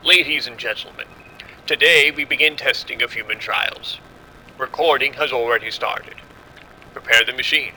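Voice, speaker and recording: Confident male scientist played by a male actor for audio drama; measured, explanatory, very sharp and forceful, faint ambient noise. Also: mid-range voice, poor audio. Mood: assured, explanatory. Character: confident male scientist running a secret military experiment.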